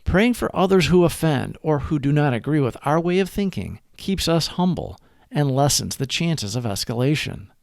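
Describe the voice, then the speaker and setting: Man reading aloud, lively higher-pitched creaky voice. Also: normal speed, dry recording, clean studio sound. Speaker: reader of a daily recovery meditation. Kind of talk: scripted read-aloud reflection.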